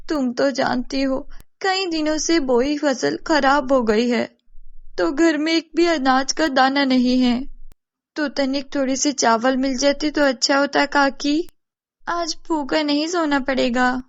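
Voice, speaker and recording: A woman's voice: high voice, steady tone, slow pace, dry recording, lo-fi sound. A woman voicing a sly, greedy, envious young village woman in a storybook tale, exclaiming and gloating melodramatically. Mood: wheedling.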